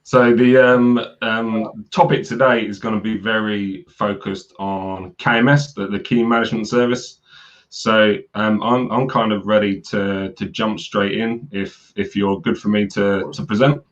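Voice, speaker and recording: A man's voice: lowish voice, little pitch movement, a little slow, highs cut off. A man giving a live webinar talk on a video call, hesitant with many repeats and fillers, his voice worn from a cold. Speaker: guest speaker on cloud security.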